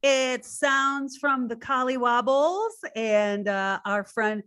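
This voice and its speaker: A woman hosting, giving an informal introduction in a chatty, deliberate way. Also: high-pitched, fairly bright tone, clean tone. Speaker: host and theater company director.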